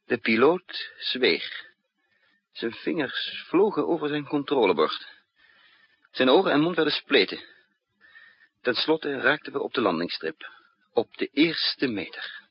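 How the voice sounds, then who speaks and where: An actor playing a resourceful fugitive spy in a 1960s Dutch radio drama, with a commanding, clipped manner. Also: male voice, mid-range voice, big pitch swings, husky, no room sound, full character voice. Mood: measured, suspenseful. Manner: commanding, clipped orders alternating with measured narration. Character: resourceful, urgent male fugitive spy with lost memory. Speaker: narrator and lead actor. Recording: muffled, noisy 1960s radio recording.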